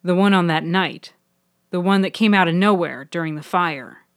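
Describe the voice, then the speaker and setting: A woman's voice: lowish voice, moderate pace, no echo, studio quality, natural voice. A woman voicing an earnest, cautious and slightly awkward young man in a fiction podcast. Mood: intent. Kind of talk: audio fiction character dialogue.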